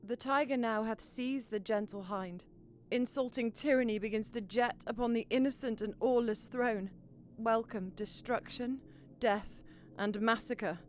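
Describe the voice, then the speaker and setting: A woman's voice: on the high side, steady tone, a little slow, faint music behind, lightly acted. A woman playing an anxious, foreboding queen and mother, speaking formal dramatic verse in a Shakespearean audio drama. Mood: fearful foreboding.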